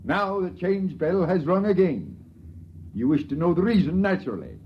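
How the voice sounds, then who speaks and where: A solemn, dogmatic priest of a starship from a vintage radio drama. His voice sits a little high and grandly proclaiming. The dull, lo-fi broadcast carries a light hiss. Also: very animated, moderate pace. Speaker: character in a 1950s radio play. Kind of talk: 1950s radio acting.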